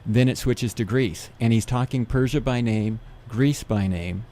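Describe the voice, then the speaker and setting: A man who gives seminars, guesting on a radio interview, explaining things in a steady, methodical way. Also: mid-range voice, a little slow. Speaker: seminar speaker.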